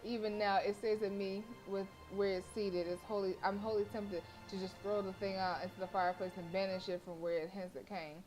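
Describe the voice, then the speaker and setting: A woman's voice: mid-range voice, little pitch movement, a little fast, hardly any pauses, a little mellow. A woman game streamer reading story text aloud expressively, with playful casual asides. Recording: faint game music behind. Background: game music.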